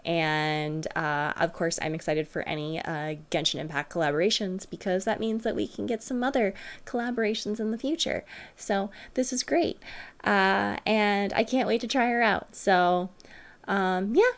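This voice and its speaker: A woman's voice: medium pitch, fairly even intonation, normal speed. A young adult woman giving casual, rambling reaction commentary to her viewers as a streamer at home. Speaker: streamer, reaction video host. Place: small furnished room at home.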